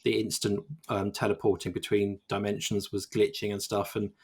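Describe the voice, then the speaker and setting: A man's voice: fairly low pitch, completely flat delivery, moderate pace, a little mellow, slightly rough. A man co-hosting a video game podcast, chatting casually and rambling with many restarts. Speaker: podcast co-host. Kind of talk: casual chat.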